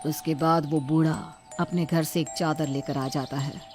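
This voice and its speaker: Female storyteller for a cartoon horror tale, low register, music underneath. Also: steady tone, unhurried, darkish tone. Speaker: narrator. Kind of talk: scripted story narration for a cartoon.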